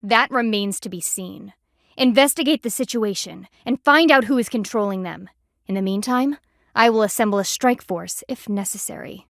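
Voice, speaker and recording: A woman's voice: mid-range voice, normal speed, creaky, fairly bright tone, dry recording, studio quality. A woman voicing a stern, haughty panda army lieutenant in a video game, speaking in a formal, clipped, dryly disdainful way. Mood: businesslike.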